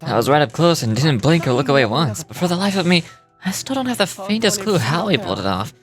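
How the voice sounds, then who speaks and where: A woman's voice: deep voice, sing-song, fast talker, music in the background, voice pushed down. A woman voicing an opinionated, gossipy townsperson from a fantasy game, telling stories emphatically in a conversational way. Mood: admiring. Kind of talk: video game dialogue read aloud.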